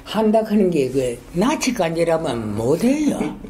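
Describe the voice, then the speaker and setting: An older man with a strong Gyeongsang dialect, a retired school principal telling his story in a halting, rambling way in an oral history interview. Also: fairly high pitch, very animated, dark tone, slight background noise. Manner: halting, rambling, many fillers and restarts.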